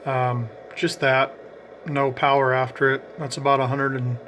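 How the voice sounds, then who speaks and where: A man's voice: mid-range voice, level tone, measured pace, a bit bright, a little hiss or hum. A man giving casual, explanatory commentary as he narrates a radio range test.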